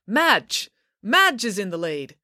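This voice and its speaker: Woman as an animated, fussy male race commentator. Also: mid-range voice, takes it slowly, no echo, clean studio sound, pitched above the performer's own voice, heavily acted. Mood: excited.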